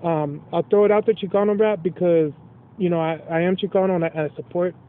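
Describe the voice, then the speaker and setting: A man's voice: high voice, steady tone, quick pace. A man from Los Angeles, a rapper guest talking candidly in a casual interview, streetwise and swearing freely. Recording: tinny phone-like line.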